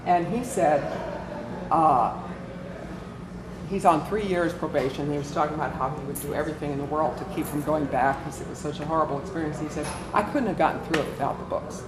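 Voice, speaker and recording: A woman's voice: low-pitched, fairly quick. A woman giving an informal workshop talk as a volunteer presenter, conversational and inclined to tell stories. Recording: echoey room with clear background noise.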